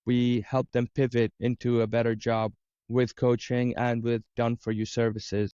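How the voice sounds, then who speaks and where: A man's voice: medium pitch, flat delivery, normal speed, mellow, clear, resonant tone, no room sound, dull audio. A man from Dubai answering interview questions as a career coach, talking conversationally and explaining with lists of examples. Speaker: interview guest, career coach and business owner.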